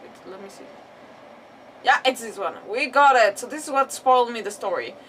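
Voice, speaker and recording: A woman's voice: fairly high pitch, lively intonation, moderate pace, a bit bright, far from the mic, slight background noise, low-quality recording. A woman game streamer chatting casually on a livestream, scattered and exclamatory, often repeating words.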